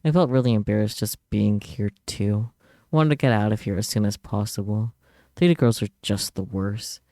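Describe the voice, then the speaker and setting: A man's voice: fairly low pitch, normal speed, dark tone, dry recording, clean studio sound. A man reading a visual novel aloud as a streamer, narrating it dramatically in the first person. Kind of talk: dramatic read-aloud narration and translated dialogue.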